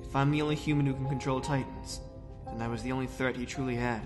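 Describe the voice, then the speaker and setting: A man's voice: mid-range voice, steady tone, fast talker, over music, close to an everyday voice. A man voicing an earnest, urgent young male ensign in a fan-made parody drama.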